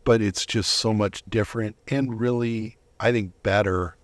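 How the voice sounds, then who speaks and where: A middle-aged man giving a conversational personal testimony as an interview guest, reflective and halting, often rephrasing himself. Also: lowish voice, fairly even intonation, dry recording.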